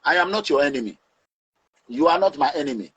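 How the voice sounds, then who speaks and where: A Nigerian man speaking in a forceful, preaching way as he gives advice to his online audience. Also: a little high, animated, fast talker, slightly rough.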